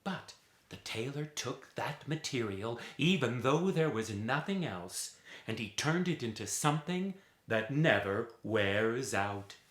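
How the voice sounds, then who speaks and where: A man's voice: medium pitch, lively intonation, a bit bright, reverberant. A man telling a folk tale as a theatrical storyteller, dramatic and rhythmic, drawing out repeated phrases. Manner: dramatic, rhythmic with drawn-out repetitions.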